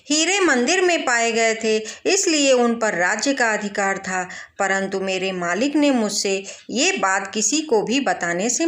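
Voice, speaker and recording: A woman's voice: mid-range voice, a bit bright. A woman voicing an aggrieved, deferential male servant pleading for justice in a storybook tale. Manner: deferential, explanatory. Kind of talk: storybook character voice.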